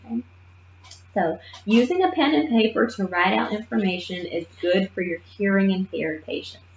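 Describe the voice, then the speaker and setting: A woman teaching a nursing assistant class in a lively, emphatic way, often checking in with her listeners. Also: medium pitch, moderate pace, slight background noise. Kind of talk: classroom lecture. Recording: muffled roomy classroom recording.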